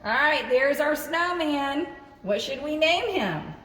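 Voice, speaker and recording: Slow-paced woman in an echoey room. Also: high-pitched, lively intonation, a bit bright. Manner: sing-song, thinking aloud to young children. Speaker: children's librarian hosting storytime. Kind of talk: children's craft and game demonstration. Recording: echoey, reverberant room, distant mic, faint noise.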